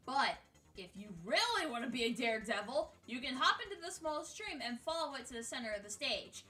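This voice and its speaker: High-voiced woman doing gaming commentary. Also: sharp tone, far from the mic. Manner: exasperated, sweary, jokey. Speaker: gaming video host. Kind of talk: casual scripted countdown commentary on a video game. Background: music.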